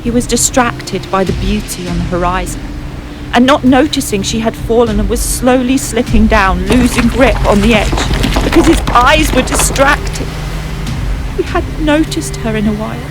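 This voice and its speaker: A woman's voice: fairly high pitch, normal speed, poor audio. Woman narrating dramatically over music. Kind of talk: dramatic scripted storytelling narration. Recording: music playing behind the voice.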